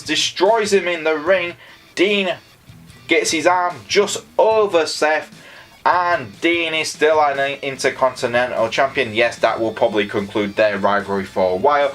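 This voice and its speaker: Chatty Yorkshire man reviewing wrestling. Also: fairly bright tone. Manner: chatty and enthusiastic, jumps up in pitch on opinions. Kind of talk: casual solo review commentary.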